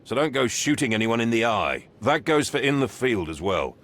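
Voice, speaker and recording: An actor playing a stern, grudging police training sergeant in a video game, gruff and condescending, with a gravelly voice. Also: male voice, medium pitch, big pitch swings, normal speed, a bit bright. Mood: stern instruction.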